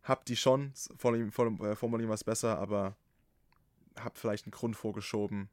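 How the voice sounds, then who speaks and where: A man from Saxony with a slight Saxon accent, hosting a podcast in casual, animated chat. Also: on the low side, brisk, no echo. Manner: animated, emphatic, swears casually. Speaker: podcast host.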